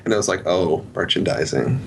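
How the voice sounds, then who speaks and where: A man's voice: low-pitched, a little fast, creaky, very noisy. A man in his twenties chatting casually on a podcast panel.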